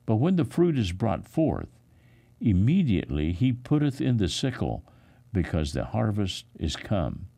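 A man with a low, dark voice reads scripture in a solemn pastoral style. His phrasing is measured and emphatic, with a lively rise and fall in pitch and a gravelly creak at the edges. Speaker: pastor reading scripture aloud. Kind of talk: solemn scripture reading for broadcast.

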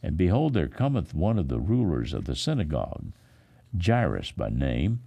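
A man, a pastor, reading the Bible aloud in a solemn, dramatic style with measured, expressive emphasis. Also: extremely low pitch, creaky, lo-fi sound.

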